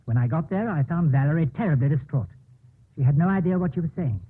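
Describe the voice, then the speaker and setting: A troubled, distracted doctor in a vintage radio play, speaking haltingly. His voice is fairly high for a man and slightly husky, through a narrow, muffled broadcast. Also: expressive. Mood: troubled, regretful. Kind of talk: 1950s American radio drama acting.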